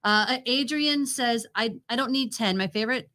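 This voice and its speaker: A woman's voice: fairly high pitch, moderate pace, pushed and bright. A woman co-hosting a TV review show, chatting casually and giving her opinions in an informal, teasing way. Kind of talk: casual banter and TV commentary.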